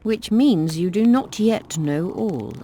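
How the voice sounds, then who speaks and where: An actress playing a determined female rebel commander in a video game, measured, serious and commanding. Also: medium pitch, animated, mellow, slight background noise. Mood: grave, resolute.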